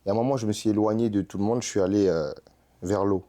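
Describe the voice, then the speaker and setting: A young man from Congo chatting in an interview, telling stories in an animated, slangy way and laughing often. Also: lowish voice, quick pace. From Republic of the Congo. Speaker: rap artist guest. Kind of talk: casual interview chat.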